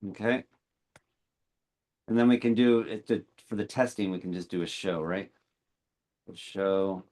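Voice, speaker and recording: A man's voice: low-pitched, unhurried, a little mellow. A man chatting casually while he works on code at a computer, thinking aloud and asking questions. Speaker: meeting host typing code as he learns.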